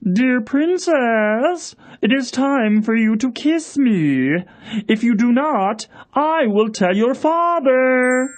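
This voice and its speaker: A little male frog from a children's storybook, acted out by a woman, high and very animated. He whines and pleads insistently, drawing out his demands at an unhurried pace. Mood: demanding. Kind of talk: storybook voice acting.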